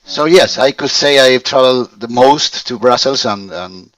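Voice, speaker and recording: A man's voice: mid-range voice, lively intonation, a little slow, a bit bright, dry recording, distant mic, rough recording. A man in his fifties with a Spanish accent, practising English in a conversation lesson, speaking hesitantly with many restarts and fillers. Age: 50s. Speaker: language student. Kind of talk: conversation practice over a call. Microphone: call microphone.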